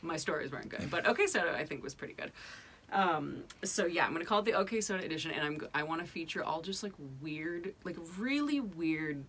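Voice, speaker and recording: A woman's voice: low-pitched, lively intonation, fairly quick, fairly bright tone, a little hiss or hum. A woman in her thirties from New England, hosting a podcast in casual chat, talking with lots of fillers and laughs. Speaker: podcast host.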